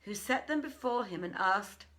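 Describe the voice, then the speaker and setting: A woman reading an old tale as dramatic scripted narration, in a formal, deliberate, theatrical way. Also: mid-range voice, animated, a bit bright. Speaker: audiobook reader.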